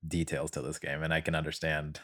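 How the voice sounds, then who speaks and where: A man's voice: a bass voice, completely flat delivery, brisk, a bit bright. A man hosting a podcast in casual chat, joking and laughing easily. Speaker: podcast host.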